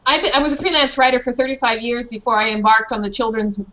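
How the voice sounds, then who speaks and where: A middle-aged or older woman, a children's author, answering questions informally and haltingly over a video call. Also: fairly high pitch, bright, forceful tone. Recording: dull, muffled video-call audio.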